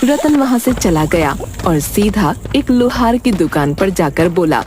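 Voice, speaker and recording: A woman's voice: mid-range voice, expressive, measured pace, noisy background. A woman narrating a cartoon moral story from a script.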